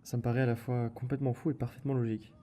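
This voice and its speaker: A man's voice: medium pitch, little pitch movement, rapid-fire, mellow, a little hiss or hum, lightly acted. A man playing an uneasy, questioning but eager adventurer in an amateur audio fiction. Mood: puzzled.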